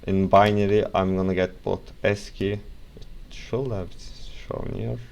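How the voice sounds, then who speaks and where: A young man with a Turkish accent talks slowly and loosely in a deep voice, correcting himself and wandering into asides. Faint background noise and keyboard typing sit under his voice. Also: steady tone, vocal fry, darkish tone, lo-fi sound. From Turkey. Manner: rambling, self-correcting, casual asides. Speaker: software tutorial presenter. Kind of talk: casual screen-recorded software tutorial.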